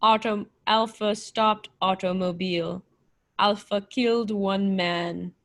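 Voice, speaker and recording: A woman playing an emotionless living machine in a radio play, speaking in flat, clipped, blunt phrases. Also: medium pitch. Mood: flat, matter-of-fact. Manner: flat, slow, clipped delivery. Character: emotionless living machine man, blunt, menacing, primitive speech. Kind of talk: old-time radio play acting.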